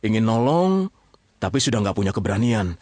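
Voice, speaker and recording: A man's voice: on the low side, big pitch swings, a little fast, darkish tone, no echo. Chatty male radio storyteller, dry sound. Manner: chatty, theatrical, teasing. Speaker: storyteller narrator. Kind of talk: colloquial radio drama storytelling.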